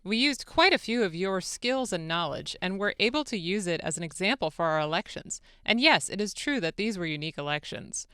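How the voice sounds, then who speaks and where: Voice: medium pitch, expressive, a little fast, hardly any pauses, sharp tone, clean tone, no echo, studio quality. A radio producer doing a dramatic reading as an eager, deferential male foreign president, with emphatic, earnest delivery. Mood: eager flattery. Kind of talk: dramatic reading of a phone-call transcript.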